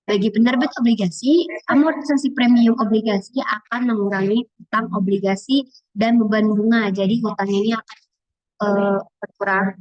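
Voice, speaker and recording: A woman's voice: mid-range voice, fairly even intonation, normal speed, darkish tone, dry recording. A young woman, a student explaining answers informally in a class presentation. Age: young adult. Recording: dull, lo-fi audio.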